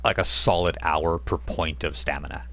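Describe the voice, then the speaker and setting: A man's voice: low voice, steady tone, moderate pace, fairly bright tone. A man running a tabletop role-playing game as game master, chatty and rambling, laughing often as he talks.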